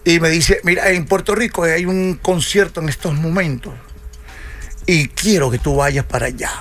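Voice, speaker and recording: An older man from Venezuela, a salsa musician, telling unhurried stories in a radio studio interview and acting out the dialogue he quotes. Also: on the high side, fairly bright tone, faint music behind.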